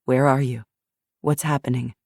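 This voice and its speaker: A calm, low-voiced man asking soft, steady questions, as a woman narrator puts him on. The tone is gravelly and dry, kept close and even. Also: normal speed, dry recording. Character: calm man guiding a hypnotic recall.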